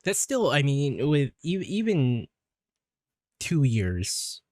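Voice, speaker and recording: A man hosting a casual podcast interview, asking long, halting questions with many restarts. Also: mid-range voice, big pitch swings, darkish tone, dry recording, clean studio sound. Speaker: podcast host.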